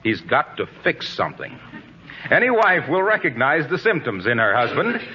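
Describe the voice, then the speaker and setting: A man's voice: fairly high pitch, very animated, moderate pace. A man announcing a 1940s radio comedy in a scripted, folksy, showy storytelling delivery. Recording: noisy, muffled 1940s radio recording.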